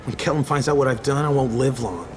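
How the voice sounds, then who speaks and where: An actor playing a frightened young street informant in a video game, jittery and stammering. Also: male voice, mid-range voice, quick pace, music in the background, natural voice. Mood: bitter, resigned.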